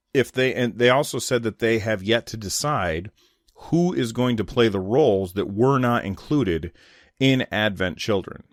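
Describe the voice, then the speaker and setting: A man with a lowish voice talks through his views on video games in an informal solo commentary. He rambles and corrects himself as he goes, sounding unscripted and opinionated. The sound is close and very dry, with little room on it. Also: no echo. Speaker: gaming podcast host.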